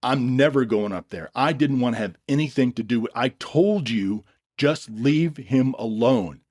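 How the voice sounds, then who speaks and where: A man's voice: medium pitch, lively intonation, measured pace, darkish tone, pitched above the performer's own voice. A man voicing a firm, insistent, fed-up woman in an audio story. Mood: firm refusal.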